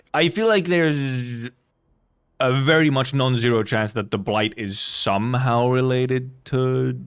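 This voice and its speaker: A man streams a game with casual, off-the-cuff commentary. His talk rambles along, correcting itself and wandering between thoughts, with a mid-pitched voice. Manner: rambling, loosely self-correcting, casual swearing. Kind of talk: casual live gameplay commentary.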